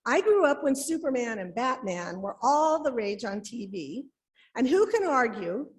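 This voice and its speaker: Slow, higher-voiced woman reading a sermon. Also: low-quality recording. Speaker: lay reader delivering a written sermon. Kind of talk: sermon read aloud from a script.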